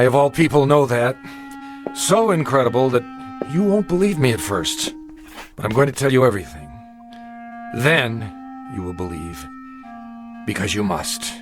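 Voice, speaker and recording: An actor playing a haunted older gentleman in a radio drama, recounting his youth in formal, precise, insistent words. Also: mid-range voice. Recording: music under the voice. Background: dramatic music.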